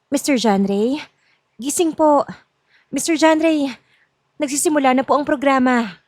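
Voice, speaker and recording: A woman playing a polite event staffer in an audio drama, speaking deferentially and gently calling for attention. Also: high-pitched, measured pace, no echo. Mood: polite, urging.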